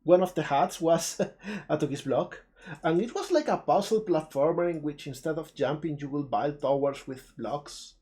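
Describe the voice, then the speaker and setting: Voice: medium pitch, normal speed, darkish tone. A native Spanish speaker with an Andalusian accent from southern Spain, hosting a live stream with casual, chatty commentary on game trailers. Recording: music playing behind the voice.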